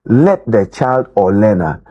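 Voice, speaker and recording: Husky Ghanaian man, dark measured voice. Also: fairly low pitch, very animated, dull audio. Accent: Ghanaian English. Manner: measured, explanatory, with filler pauses. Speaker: education official. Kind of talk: interview answers.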